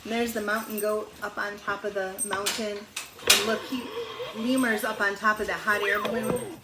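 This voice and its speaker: A woman's voice: medium pitch, steady tone, normal speed. Woman reading a picture book, roomy echo. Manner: explains words and pictures, asks questions, exclaims. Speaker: read-aloud storyteller. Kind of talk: picture book read-aloud with chatty asides to children. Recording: roomy echo, faint background noise.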